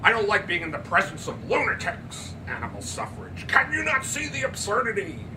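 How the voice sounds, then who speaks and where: A grumpy middle-aged businessman as a male author acts him out blusters with old-fashioned indignation. His voice is raised and forceful, recorded with clear background noise in a storybook reading. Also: high voice, huge pitch swings, normal speed, distant mic, pitched above the performer's own voice. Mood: agitated.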